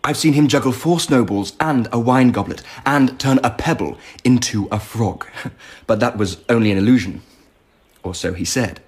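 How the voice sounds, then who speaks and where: An actor playing a genial, indulgent but shrewd Emperor in a radio drama, urbane and teasing in manner. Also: male voice, mid-range voice, normal speed, breathy edge, natural voice. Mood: amused, indulgent.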